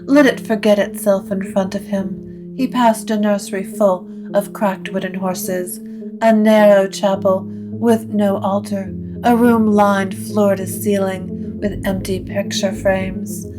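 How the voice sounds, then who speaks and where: A woman narrates a supernatural story in a literary, measured way. Her pace is slow and her pitch is medium, with music clearly playing behind her. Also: flat delivery. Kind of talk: scripted supernatural fiction narration.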